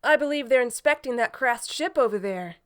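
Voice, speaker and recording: Woman voicing self-assured bat spy, high, animated, cool, cartoon audio drama. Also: a little fast, lightly acted. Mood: concerned.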